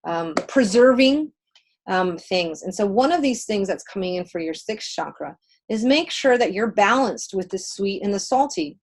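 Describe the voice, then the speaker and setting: A woman whose first language is Spanish, co-hosting a casual spiritual livestream and giving readings in an emphatic, conversational way with pauses for effect. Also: medium pitch, expressive. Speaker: co-host of a spiritual healing livestream.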